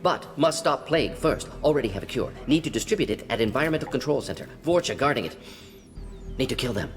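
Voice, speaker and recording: An actor playing a male alien scientist who talks in quick clipped fragments and drops his articles. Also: medium pitch, animated, faint music behind, natural voice. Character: fast-talking male alien scientist, twitchy. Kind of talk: video game voice acting.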